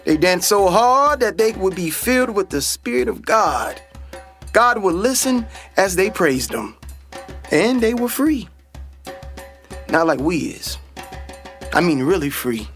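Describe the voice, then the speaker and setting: A man's voice: sits high, big pitch swings, slow, long pauses, fairly bright tone, full character voice. A man playing a confident, dreamy older brother and aspiring pilot in a radio play, with an earnest, folksy storytelling manner. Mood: reverent storytelling. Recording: music behind a dry voice. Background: music.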